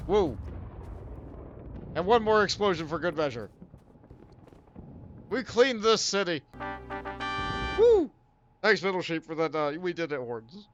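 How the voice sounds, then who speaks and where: Animated man chatting on a game stream. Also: high-pitched, unhurried, pauses often, dull audio, soft background music. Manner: rambling, self-deprecating, laughs often. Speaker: streamer host. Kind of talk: casual gaming stream chat.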